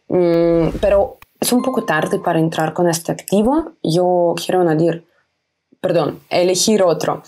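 A woman's voice: lowish voice, darkish tone, husky. A young woman explaining trading steps in a casual screen-recorded tutorial, speaking simple as a with repeats and small self-corrections. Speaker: trading video host. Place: indoors at home, webcam in corner.

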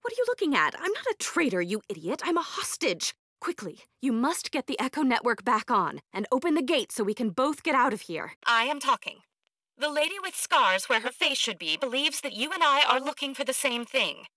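An actress voicing an eccentric, arrogant woman scientist in a video game, blunt and socially awkward, with clipped, precise, deadpan delivery. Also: high voice, a little fast, no echo, clean studio sound, full character voice. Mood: indignant, urgent, then haughty, deadpan.

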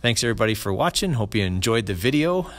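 A middle-aged man hosting a fly fishing channel, explaining his gear and rigs in a relaxed voice-over with many ums and restarts. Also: on the low side, fast talker.

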